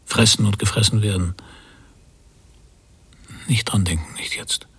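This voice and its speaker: An actor playing a pensive emigrant father at sea in a radio drama, speaking breathily in halting, introspective phrases, often near a whisper. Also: on the low side, steady tone, gravelly, a bit bright, husky, exaggerated voice. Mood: brooding, hushed. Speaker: narrator and lead character. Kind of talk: literary radio drama monologue.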